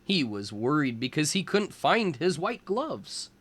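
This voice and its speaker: A man reading a storybook aloud as a teacher for young children, in deliberate phrases. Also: on the high side, sing-song, fairly bright tone, husky.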